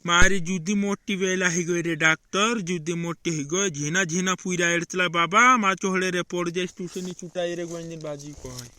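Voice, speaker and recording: A man's voice: high-pitched, steady tone, bright, forceful tone, no room sound. A man voicing an injured old village grandfather in a comedy cartoon, rambling and repeating his rueful complaints. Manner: rambling, repetitive, drawn-out complaining. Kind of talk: amateur regional comedy cartoon dubbing.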